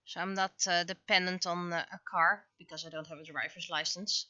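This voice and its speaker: A woman chatting casually on a livestream as an artist at work, speaking haltingly as she thinks aloud. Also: on the low side, steady tone, sharp tone, dry recording, dull audio.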